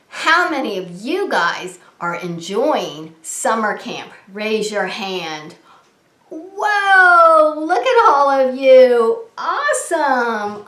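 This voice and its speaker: A woman hosting a preschool story time, talking to young children in a gentle, sing-song, encouraging way. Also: on the high side. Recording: roomy, echoing indoor sound.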